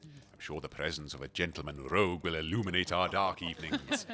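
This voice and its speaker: An actor playing a pompous, courtly gentleman host in a comedic audio drama, speaking in flowery, formal, theatrical phrases. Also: low-pitched, sing-song, brisk, a crowd in the background. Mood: grandly hosting.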